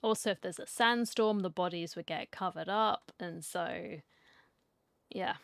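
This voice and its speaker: A woman's voice: lowish voice, measured pace, gravelly, no echo. A woman, chatting casually as she plays and reacts to a video game. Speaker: gaming streamer. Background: game music.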